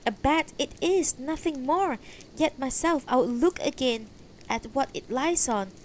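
A woman's voice: high voice, a little slow, a little hiss or hum, voice pushed up. A woman voicing a proud, blustering old male knight in a fantasy story, formal and indignant. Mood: dismissive.

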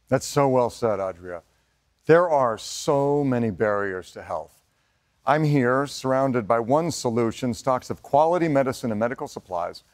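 Middle aged guy hosting a benefit from a warehouse, emphatic, mid-pitched. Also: lively intonation, normal speed, darkish tone. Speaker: benefit co-host. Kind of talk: scripted presenting to camera. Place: indoor warehouse.

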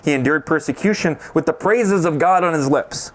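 A man in his forties preaches an earnest sermon from a church stage, speaking into a handheld microphone. His voice sits a little higher than most men's, and he talks with a pastor's steady earnestness, now and then restarting a phrase. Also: moderate pace. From Canada. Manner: earnest preaching, sings a chorus, gestures while speaking.

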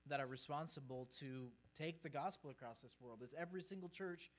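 A man's voice: a little high, a little fast. A man in his thirties from Peru, speaking earnestly as a missionary guest to a congregation from the pulpit. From grew up in Peru. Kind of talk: informal presentation to a congregation. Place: church sanctuary, at the pulpit. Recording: faint hum and background noise.

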